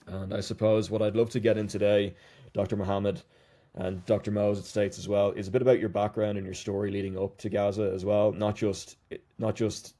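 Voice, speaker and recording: A man's voice: lowish voice, warm tone. A man hosting a podcast, reading an introduction and then asking a long, hedging question. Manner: rambling, hedging questions with many "maybe". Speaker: podcast host.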